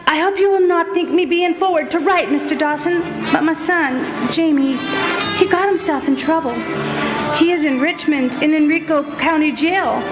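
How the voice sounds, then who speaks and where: Roomy radio-play stage with music underneath: humble, pleading elderly mother played by a woman, very high voice, plain country speech. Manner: plain rural speech, deferential, earnest. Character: humble, pleading old working-class mother writing a letter. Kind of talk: radio drama letter monologue.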